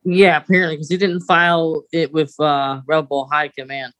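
A woman's voice: low-pitched, level tone, normal speed, a bit bright. A woman co-hosting a podcast, giving a casual, conversational recap of a story. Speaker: podcast co-host.

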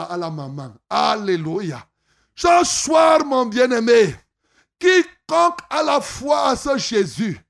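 A man preaching a revival sermon, shouting and stretching his phrases into a sing-song chant. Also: very high-pitched, gravelly.

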